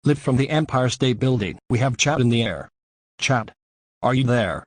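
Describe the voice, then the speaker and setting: A man playing a TV news anchor in a scripted crisis newscast, speaking plainly and earnestly in measured sentences. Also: mid-range voice, animated, lightly acted. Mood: serious. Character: male TV news anchor addressing a city in crisis.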